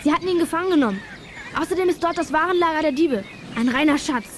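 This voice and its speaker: Over clear background noise, an eager girl sleuth in a radio drama. She talks fast in a high, breezy voice. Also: animated. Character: eager, alert girl detective. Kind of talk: 1980s children's radio play acting.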